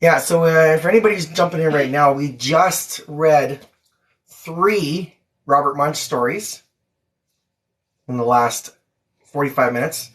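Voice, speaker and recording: Very low-pitched woman chatting casually. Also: unhurried, pauses often. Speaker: livestream host and parent. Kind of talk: casual livestream chat.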